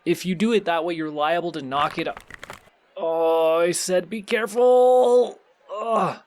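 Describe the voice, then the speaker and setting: A man's voice: high-pitched, a little slow, a little hiss or hum. A man playing a pleasant supervillain boss in an audio roleplay, speaking politely and formally as he instructs the listener. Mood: gently instructing, then alarmed, exasperated. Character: courteous, surprisingly pleasant male supervillain boss.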